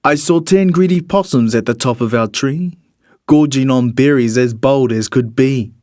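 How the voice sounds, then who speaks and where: A man narrates a rhyming picture book for children. His voice sits at a middle pitch and is recorded close and dry. He tells it expressively, in measured verse. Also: no echo. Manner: expressive, measured storytelling in the character of a bird narrator. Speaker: storybook reader.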